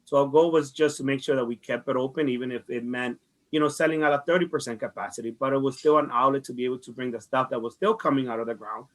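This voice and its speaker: A man's voice: medium pitch, steady tone, fast. A man from the Dominican Republic with a Dominican Spanish accent, giving conversational interview answers in an earnest way, often repeating phrases. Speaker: farmers market director being interviewed.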